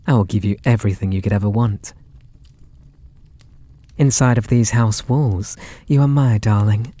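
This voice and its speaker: Man acting as a mellow British possessive yandere. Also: lowish voice, natural voice. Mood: smug, possessive, then adoring, intimate. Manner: doting, intimate, theatrical, giggly. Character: possessive, lovestruck male yandere kidnapper, gleefully sweet. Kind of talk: ASMR roleplay audio drama.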